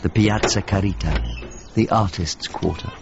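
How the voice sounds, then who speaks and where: A man's voice: low-pitched, normal speed. An actor playing an earnest, anxious painter who narrates his search for a friend in a radio drama, in clipped fragments. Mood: sombre, reflective. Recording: dull lo-fi radio drama, clearly noisy background.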